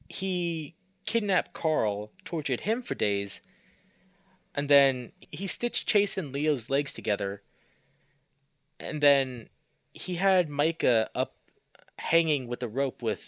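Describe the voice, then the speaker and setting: A man's voice: mid-range voice, fairly bright tone, dry recording, muffled recording. A man reading a visual novel aloud as a streamer, mixing narration with casual, sweary commentary.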